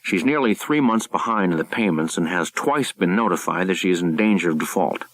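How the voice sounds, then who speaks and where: Man as a cold, formal, low-voiced rich creditor. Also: animated. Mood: cold, smug. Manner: formal, measured. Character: cool, businesslike wealthy creditor, coldly commanding.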